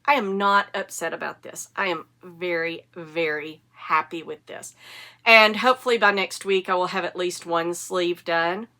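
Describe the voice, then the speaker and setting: A woman's voice: fairly low pitch, fairly even intonation, normal speed, bright, forceful tone. A woman, sniffly from a cold, hosting a casual knitting podcast in a chatty, enthusiastic, rambling way. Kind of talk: casual show-and-tell chat.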